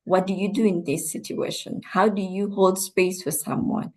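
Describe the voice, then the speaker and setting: A woman from Rwanda, a guest coach, answering interview questions in a measured, reflective way. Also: medium pitch, flat delivery.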